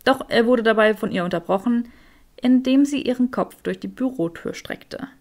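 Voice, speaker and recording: A woman narrates a fiction audiobook. Her voice sits somewhat high, and she reads scripted storytelling prose. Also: moderate pace, darkish tone.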